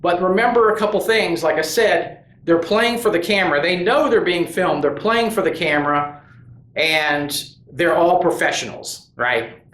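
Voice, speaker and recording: Man, channel host, casual monologue, slightly high voice, lots of restarts, echoey room. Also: normal speed, fairly bright tone. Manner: rambling, earnest, with many restarts and "you know". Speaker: host of a tabletop gaming crafts channel. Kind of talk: casual opinion monologue to camera.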